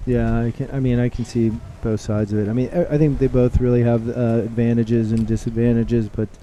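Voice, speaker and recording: Man, live sports commentator, casual banter, very dark mellow tone, faint background noise. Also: mid-range voice, a little fast. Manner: relaxed, chatty, joking with co-host.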